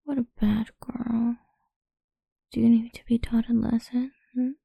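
A woman speaking in a soft, slow, coaxing whisper for a close-mic ASMR roleplay. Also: a little high, flat delivery, gravelly, slightly rough, dry recording.

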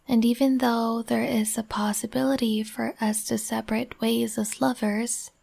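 A woman whispering softly and soothingly, speaking as a comforting partner in a roleplay. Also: fairly high pitch. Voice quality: breathy.

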